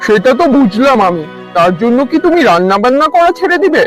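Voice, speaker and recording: A man's voice: extremely high pitch, very animated, quick pace, soft background music. A man playing a hungry, exasperated village husband in a cartoon, henpecked, pleading and grumbling. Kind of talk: cartoon voice acting.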